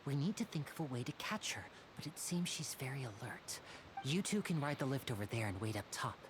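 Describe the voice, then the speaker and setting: A woman voicing a sly, confident young male stage magician in a video game, with a smooth theatrical manner. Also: a bass voice, sing-song, brisk, background noise. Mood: hushed, urgent. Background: game music.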